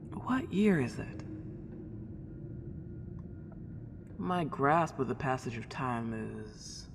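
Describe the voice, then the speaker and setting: A woman's voice: low-pitched, full character voice. A woman playing a friendly, polite and lonely ghost girl a century old, chatting in a genteel, old-fashioned way in an ASMR roleplay drama. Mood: puzzled. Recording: long reverb, clear background noise.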